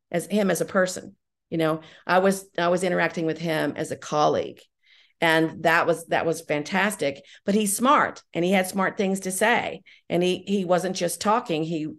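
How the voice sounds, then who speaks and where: A middle-aged woman answering interview questions conversationally as a podcast guest, enthusiastic and emphatic, often repeating herself. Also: lowish voice. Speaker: podcast guest, audio drama writer-director.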